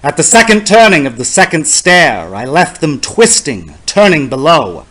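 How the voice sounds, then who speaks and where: Male poetry reader, notably high register, solemn and incantatory, with dramatic, measured delivery. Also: big pitch swings, a bit bright. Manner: solemn, deliberate, theatrical, incantatory.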